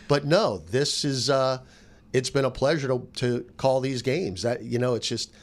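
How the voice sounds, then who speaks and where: A man's voice: mid-range voice, a little slow. A man who works as a play-by-play announcer, giving casual, emphatic sports commentary in a roundtable chat.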